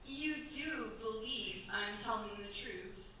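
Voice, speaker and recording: Radio play actress: young accused wife, high, distressed and pleading, heard far off in a reverberant hall. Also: female voice, slow pace, bright, forceful tone. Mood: anxious, pleading. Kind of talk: staged radio play acting. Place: staged performance in a cultural centre. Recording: echoey hall, distant mic, stage noise.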